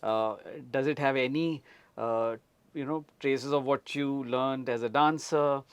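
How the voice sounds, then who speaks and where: A man's voice: mid-range voice, steady tone, a little slow, clear, resonant tone, highs cut off. A man with an Indian accent, working as a radio host, introducing and conducting an interview. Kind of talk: scripted show introduction and interviewing.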